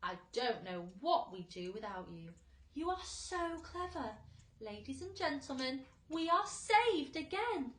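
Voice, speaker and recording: A woman's voice: high-pitched, big pitch swings, reverberant, voice pushed up. A woman voicing a courteous old male grasshopper in a storybook, speaking in a formal, reassuring way. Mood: grateful. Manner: formal, measured, affectionate.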